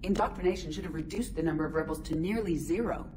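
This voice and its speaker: Actress as a low-voiced ship computer, formal and exact, with hiss in the background. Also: female voice, fast talker, natural voice. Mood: analytical. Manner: even, clipped, formal diction. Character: female ship AI, calm and precise. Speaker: starship AI.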